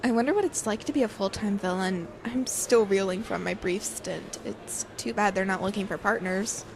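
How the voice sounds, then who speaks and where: A woman's voice: mid-range voice, normal speed, lightly acted. An actress playing a bubbly, artsy young woman in an audio drama, gushing with enthusiasm. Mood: eager, starstruck.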